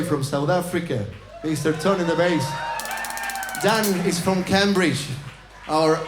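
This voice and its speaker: High-voiced Venezuelan man on an echoey stage. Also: noisy background. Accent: Venezuelan Spanish. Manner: lively, upbeat, calls out to the crowd. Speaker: band leader announcing on stage. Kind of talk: live stage banter. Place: music venue stage. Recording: echoey live venue with band.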